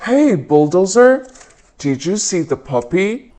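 A woman's voice: fairly low pitch, sing-song, takes it slowly, warm tone, pitched below the performer's own voice. A woman voicing a helpful police car in a children's storybook reading, earnest and eager to help. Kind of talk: storybook read-aloud. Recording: noticeable background noise.

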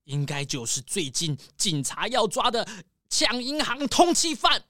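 A woman's voice: medium pitch, sing-song, unhurried, sharp tone, dry recording, clean studio sound. A woman playing a nervous, paranoid male squirrel tycoon in a children's audio detective story, stammering and exclaiming dramatically. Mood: agitated, dramatic.

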